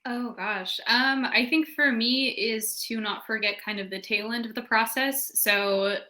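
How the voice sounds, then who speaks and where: A woman's voice: a little high, unhurried, pushed and bright. A woman speaking casually as a panelist, informal and peppering her sentences with "like". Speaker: panelist, fiction podcast creator.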